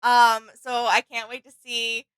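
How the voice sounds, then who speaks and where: A woman's voice: fairly high pitch, monotone, measured pace, pushed and bright, clear, resonant tone, no room sound, poor audio. A woman co-hosting a reaction video in casual, conversational chat.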